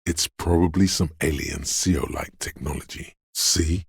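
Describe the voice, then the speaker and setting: An actor playing a menacing, paranoid inner voice of fear and aggression in a game, narrating slowly and ominously in a husky, gravelly voice. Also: male voice, a bass voice, steady tone, dry recording, studio quality, full character voice. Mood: wary, paranoid. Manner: ominous, slow, deliberate delivery. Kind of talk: dramatic second-person game narration.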